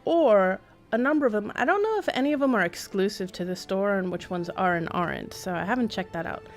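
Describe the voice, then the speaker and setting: Woman game streamer, bit of vocal fry, rambles to herself, dry asides, music in the back. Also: medium pitch, expressive, brisk. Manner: rambling, thinking aloud. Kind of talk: casual live-stream gameplay commentary.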